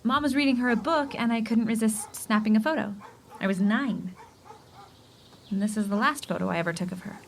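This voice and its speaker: An actress playing a stubborn, warm single woman lawyer in a romantic comedy radio play. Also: fairly high pitch, fairly quick, creaky, a little hiss or hum. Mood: quietly sad. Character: warm, stubborn single woman lawyer who loves old-fashioned photography.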